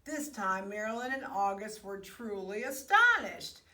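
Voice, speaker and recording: Casting: female children's storyteller, expressive and unhurried, picture-book read-aloud, echoey room, off the mic. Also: mid-range voice. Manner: expressive, with hushed asides to the young listeners. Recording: roomy, echoey, distant microphone.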